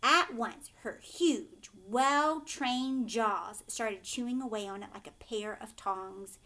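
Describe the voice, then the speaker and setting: A woman reading a children's story aloud like a teacher, with expressive, emphatic, dramatic phrasing. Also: a little high, takes it slowly.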